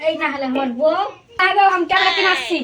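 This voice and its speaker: A woman's voice: very high-pitched, fast. A woman playing an eager, bossy village uncle in a comedy skit, talking in a repetitive pleading and commanding way, with music behind. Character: eager, bossy village uncle chasing online video money.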